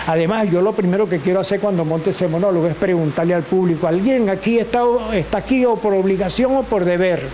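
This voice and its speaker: An older man with a Venezuelan accent, a guest telling animated stories and acting out the voices of people he quotes. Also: high-pitched, quick pace, few pauses, slight background noise. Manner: expressive, acts out quoted voices, laughs often. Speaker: guest, actor and teacher. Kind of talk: animated storytelling.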